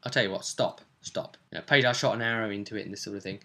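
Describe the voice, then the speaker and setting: A British man casually recounting a tabletop role-play session as game master, acting out what the players said in an animated way. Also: lowish voice, racing.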